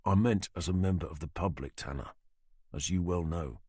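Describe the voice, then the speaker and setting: A curt, stonewalling police officer, as a male narrator puts him on, low and clipped with flat refusals. His lines are short and firm, and the sound is close and dry. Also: normal speed, slightly rough, no room sound.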